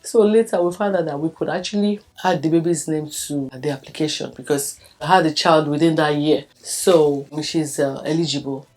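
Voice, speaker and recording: A woman's voice: low voice, normal speed, hardly any pauses, a little mellow. A Nigerian woman in her fifties, a native Yoruba speaker, telling her life story for a documentary and acting out the conversations she recalls. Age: 50s. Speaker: documentary subject. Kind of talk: personal life story told to camera.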